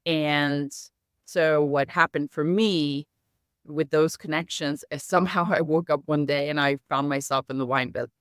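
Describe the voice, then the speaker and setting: A middle-aged woman who grew up speaking Hungarian, now with an American accent, telling her career story in a measured, reflective way as a podcast guest. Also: deep voice, fairly even intonation, clear, resonant tone, no echo, studio quality. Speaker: guest, company founder. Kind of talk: podcast interview.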